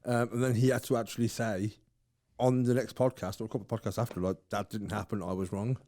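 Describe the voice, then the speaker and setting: A man co-hosting a casual podcast chat, his voice dark and mellow. He rambles through long asides and laughs a lot. The sound is close and dry, with no room around it. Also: fairly low pitch, fairly even intonation, fast, no room sound. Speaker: podcast co-host.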